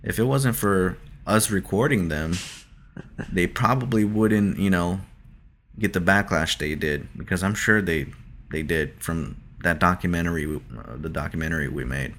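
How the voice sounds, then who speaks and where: Man, very low voice, podcast co-host, measured and trailing, casual swearing. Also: fairly bright tone, a little hiss or hum. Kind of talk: casual chat.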